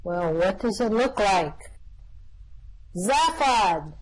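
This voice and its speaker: A woman voicing a brisk, wry male alien traveller in an amateur radio play reading. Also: medium pitch, lively intonation, rough recording.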